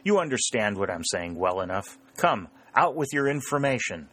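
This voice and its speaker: A man voicing a brash young rebel soldier in an audiobook, sharp, commanding and wry. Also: on the low side, sing-song, moderate pace. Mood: sharp, insistent. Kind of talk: audiobook character voice.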